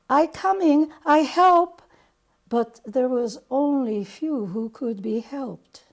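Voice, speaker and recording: A woman voicing a grave older Japanese bomb survivor in a dramatic monologue, with a Japanese accent and halting, broken English. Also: medium pitch, gravelly, darkish tone. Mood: desperate.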